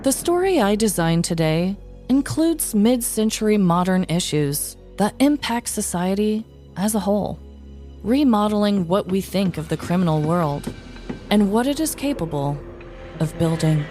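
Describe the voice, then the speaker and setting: A woman co-hosting a comedy podcast, casual and playfully expressive as she chats and tells stories. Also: mid-range voice, measured pace, faint music behind. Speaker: podcast co-host. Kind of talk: casual comedic chat and storytelling.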